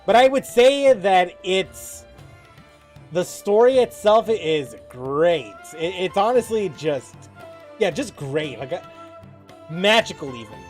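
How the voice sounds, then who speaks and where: A man giving casual live commentary as a gaming streamer, musing informally in a halting way. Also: sits high, very animated, faint music behind.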